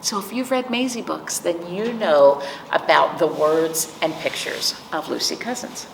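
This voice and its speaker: Storytime lady reading to little kids, slow and really expressive, kinda far from the mic in an echoey room w faint noise. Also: on the low side, gravelly. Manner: simple explanations and questions addressed to children. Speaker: library storytime host reading picture books. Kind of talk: animated read-aloud for young children.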